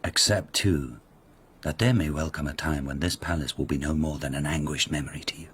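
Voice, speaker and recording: An actor playing a haughty, menacing court lord in a radio drama, speaking with deliberate, imperious condescension. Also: male voice, rumbling low, steady tone, slightly rough, heavily acted. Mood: cold, menacing.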